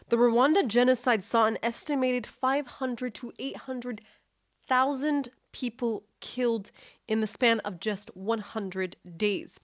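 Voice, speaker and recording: A woman hosts the interview and reads figures from a script before posing her question. Her voice sits fairly high, and the recording is dry and close, with no room sound. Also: no room sound. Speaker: host.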